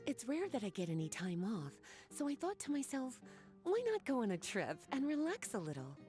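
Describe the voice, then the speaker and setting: A woman's voice: a little high, huge pitch swings, moderate pace, mellow, faint music behind. An actress playing a flirtatious, worldly woman librarian in a video game, speaking in a coy, leisurely and teasing manner. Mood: relaxed, coy. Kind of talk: video game dialogue acting.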